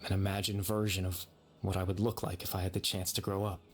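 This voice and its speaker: Sorrowful, low-voiced young spirit. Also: male voice, little pitch movement, slight background noise. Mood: wistful, regretful. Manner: soft-spoken, regretful, measured, wistful. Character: gentle, sorrowful remnant consciousness of a dead young man. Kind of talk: video game voice acting.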